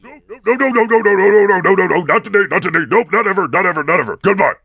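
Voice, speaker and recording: Gravelly grumpy monster bad guy from a game parody, mocking, forceful. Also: sits high, very fast, muffled recording, pitched above the performer's own voice, heavily acted. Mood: panicked refusal. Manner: blunt, mocking. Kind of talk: comedic video game parody voice-over.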